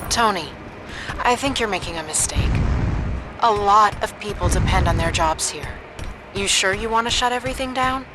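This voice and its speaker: A woman's voice: mid-range voice, moderate pace, background noise, natural voice. A woman playing an earnest, concerned personal assistant in a video game cutscene.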